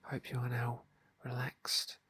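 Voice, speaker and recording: A man speaking in a soft, breathy hush, often near a whisper, narrating an ASMR roleplay game playthrough. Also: medium pitch, completely flat delivery, slow. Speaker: ASMR gaming video host and roleplayer. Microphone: close microphone, dry.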